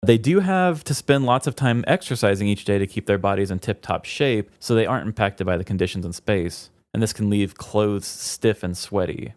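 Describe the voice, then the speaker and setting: A man's voice: on the low side, normal speed, hardly any pauses, a little mellow, no room sound. Man chatting on a podcast, dry close sound. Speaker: podcast co-host. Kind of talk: casual comedic chat, reading trivia aloud.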